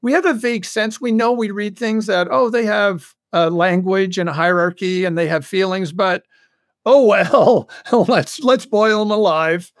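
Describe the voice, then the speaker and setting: A man being interviewed as a show creator, chatting casually in a halting way with wry, humorous asides. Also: sits high, no echo, clean studio sound. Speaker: podcast guest, audio drama creator. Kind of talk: casual animated interview chat.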